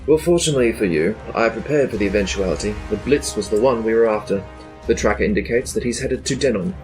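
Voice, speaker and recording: Stern, low-voiced Imperial baron acted by a man. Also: quick pace, darkish tone, lightly acted. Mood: commanding. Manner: cold, clipped, exasperated authority. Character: stern, threatening male Imperial baron and commander. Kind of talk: sci-fi audio drama acting. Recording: music behind the voice.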